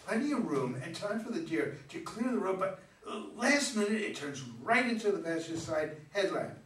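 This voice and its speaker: Heard from a distance in an echoey room, a man plays an exasperated middle-aged husband in a stage comedy. His fairly high voice swings widely as he argues, defensive and incredulous. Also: big pitch swings, normal speed, a bit bright. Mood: insistent. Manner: argumentative, exclaiming, incredulous. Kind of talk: staged reading of a comedy play. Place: echoey room, mic away from performers. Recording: roomy distant stage recording, faint noise.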